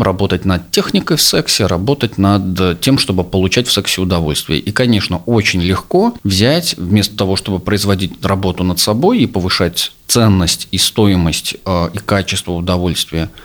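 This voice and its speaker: Low-voiced man explaining calmly. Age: 30s. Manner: measured, explanatory, lecturing. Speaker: psychologist and sex therapist. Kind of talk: podcast discussion.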